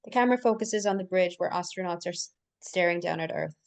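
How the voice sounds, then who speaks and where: Woman, slightly low pitch, live script narration, stage directions, occasional misreads. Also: fast, creaky. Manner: stumbles and repeats words while reading aloud. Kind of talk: live screenplay table read narration.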